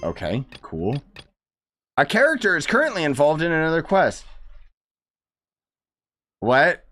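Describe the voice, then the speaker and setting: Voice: medium pitch, huge pitch swings, unhurried, a bit bright. A young adult gaming streamer giving casual, slangy playthrough commentary, sarcastic and exasperated.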